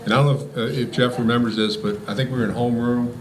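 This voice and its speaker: A man's voice: mid-range voice, level tone, racing. A man in his sixties from Virginia making a public introduction as master of ceremonies. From Virginia, United States. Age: 60s. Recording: echoey, noisy live event.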